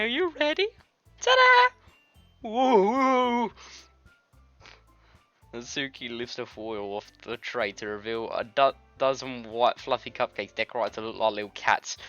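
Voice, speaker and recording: A man's voice: mid-range voice, steady tone, a little fast, fairly bright tone, dull audio. A British man reading a game aloud in a jokey way, stumbling over words and adding crude asides. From Britain. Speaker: gaming video host. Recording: music behind the voice. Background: game music.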